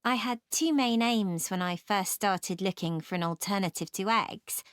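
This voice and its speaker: A woman's voice: mid-range voice, normal speed, fairly bright tone, clear, resonant tone, no echo, studio quality, close to an everyday voice. A woman playing an interested, supportive young food science student in a scripted discussion.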